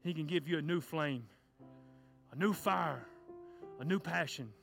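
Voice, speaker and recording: A children's pastor, preaching fervently and then praying, loud and passionate at the peaks. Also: male voice, a little high, a little slow, creaky. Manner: fervent shouting preacher, stomps and cries. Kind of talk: sermon and closing prayer. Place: church sanctuary. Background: soft guitar music.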